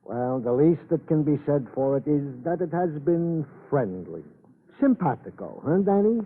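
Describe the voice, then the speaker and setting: Florid police sergeant, high-pitched. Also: male voice, big pitch swings, moderate pace, phone-quality sound. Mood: earnest, eager. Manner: florid, roundabout, stilted phrasing. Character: chatty, deferential Italian-American police sergeant on night duty. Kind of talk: old-time radio drama acting. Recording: thin, band-limited old radio recording.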